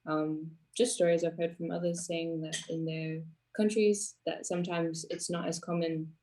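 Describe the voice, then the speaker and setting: A woman on a panel speaks in a fairly low voice. She sounds thoughtful and measured as she adds her view. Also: little pitch movement, dark tone. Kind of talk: casual panel chat.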